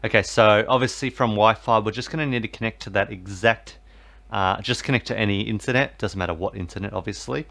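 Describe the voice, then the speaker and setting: A man's voice: fairly low pitch, a little fast, gravelly, a bit bright. A man talking viewers through resetting a TV box, rambling and full of fillers. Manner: rambling, filler-heavy, repeats "basically" and "obviously". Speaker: tech tutorial host. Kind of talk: casual how-to voice-over.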